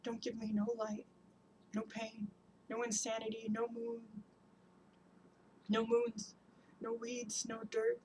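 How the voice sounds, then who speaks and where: A woman giving a dramatic spoken-word performance, chanting long repeated lists in an incantatory way and swinging between hushed whispers and loud cries. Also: fairly high pitch, completely flat delivery, slow, long pauses, a little hiss or hum, poor audio.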